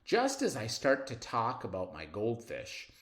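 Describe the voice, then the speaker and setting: A man reading a children's story aloud expressively, with dramatic pauses and drawn-out words. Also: medium pitch, huge pitch swings. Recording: roomy, echoing sound.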